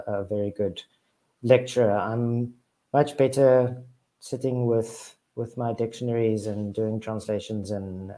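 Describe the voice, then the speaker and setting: A man's voice: mid-range voice, mellow. A middle-aged South African man whose first language is Afrikaans, talking as a guest on a video call from his office, hesitant with many restarts. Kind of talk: casual interview talk.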